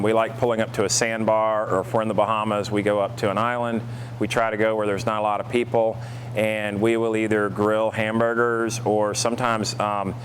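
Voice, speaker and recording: Low-voiced man over noticeable background hum. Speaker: boat store staff presenting products. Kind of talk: casual product review.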